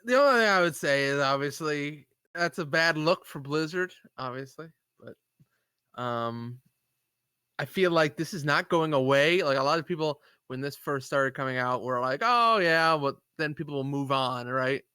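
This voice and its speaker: A man's voice: fairly high pitch, moderate pace, pushed and bright, clear, resonant tone, no room sound, studio quality. A man co-hosting a live stream, chatting casually about gaming news in a rambling way. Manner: rambling, lots of fillers and agreement. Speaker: podcast co-host. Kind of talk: casual chat.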